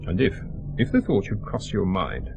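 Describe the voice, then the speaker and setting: A British actor playing a brusque, dogged intelligence officer in a radio drama, clipped, commanding and wearily exasperated. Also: male voice, deep voice, very animated, moderate pace, creaky, noisy background, heavily acted. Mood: menacing.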